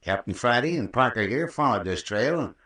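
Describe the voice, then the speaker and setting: An actor playing a folksy, patient, long-winded country doctor with a rural American accent in an old-time radio drama. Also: male voice, mid-range voice, animated, fairly bright tone, lightly acted. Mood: patient recounting. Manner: folksy, unhurried, patient storytelling. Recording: roomy, distant old radio recording.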